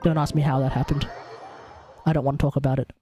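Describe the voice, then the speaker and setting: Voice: medium pitch, flat delivery, quick pace, mellow. A livestreamer talks in a casual, playful way while gaming. The speech often doubles back on itself, with faint game music behind it. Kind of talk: casual game stream chat.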